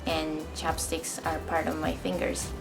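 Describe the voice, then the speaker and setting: Soft-spoken young woman narrating. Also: low-pitched, monotone, a little slow. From Japan. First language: Japanese. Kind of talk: personal documentary narration. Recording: narration over clear music.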